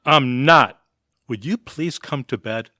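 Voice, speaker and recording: A weary, dismissive husband in a comic radio play, voiced by a man. He grumbles very slowly with a sharp, dry sound. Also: mid-range voice, dry recording. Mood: exasperated. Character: weary, exasperated neighbour husband. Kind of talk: audio theatre comedy acting.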